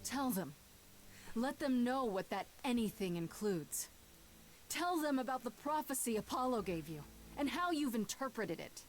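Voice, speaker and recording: A woman's voice: fairly high pitch, lively intonation, unhurried, poor audio. An actress playing a bold, defiant young woman who accuses gods, in a video game. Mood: firm accusation.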